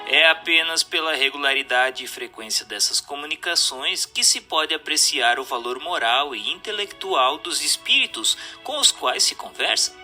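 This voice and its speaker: Male Brazilian narrator, higher-set and very bright, sharp tone; formal didactic audiobook read with faint music underneath. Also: lively intonation. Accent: Brazilian Portuguese. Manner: formal, measured, didactic reading. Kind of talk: scripted narration of a spiritual text.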